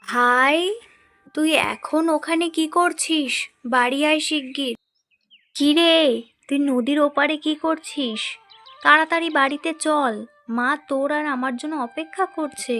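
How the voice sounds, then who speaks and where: Woman voicing a bossy, high-voiced big sister. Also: a little slow, pushed and bright, soft background music. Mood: urgent. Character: bossy teasing elder sister, a young girl. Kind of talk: Bengali cartoon voice acting.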